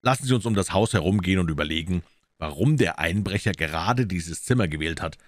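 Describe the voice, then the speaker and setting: A cool, incisive gentleman detective performed by a male audiobook reader. He is low-pitched, courteous and commanding, stressing his points deliberately in a dry recording. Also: lively intonation, no room sound. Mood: cool, probing.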